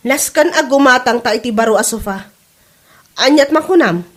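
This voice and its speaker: A woman's voice: sits high, expressive, moderate pace. A woman acting the part of a fed-up, sarcastic young wife who nags, in a comedy drama. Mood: irritated.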